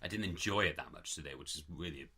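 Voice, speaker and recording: A man's voice: extremely low pitch, rapid-fire, pushed and bright, breathy edge. A man chatting casually on a podcast, rambling a little with fillers. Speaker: podcast co-host. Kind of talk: casual chat.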